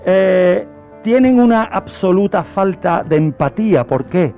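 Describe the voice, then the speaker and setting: A man's voice: high-pitched, expressive, measured pace, dark tone, highs cut off, faint music behind. A man from Spain with a Spanish accent presenting a true-crime radio segment in a didactic way, laying out a case and putting questions to listeners. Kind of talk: semi-scripted explanation of a crime case.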